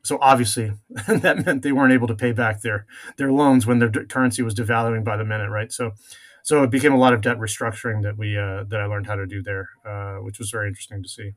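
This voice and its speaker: A middle-aged man born in France, a venture investor, telling his career story in a measured way with many filler pauses. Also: on the low side, steady tone. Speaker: guest, venture capital investor. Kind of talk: conversational interview answers.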